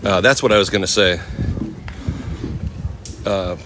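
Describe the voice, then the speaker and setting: An easygoing man in his thirties with a fairly low voice talks to his live viewers as he strolls outdoors. He rambles casually, answers chat and laughs often. Faint street noise hums behind him. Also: measured pace, a bit bright. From Oakland, California. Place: outdoors at a city temple courtyard.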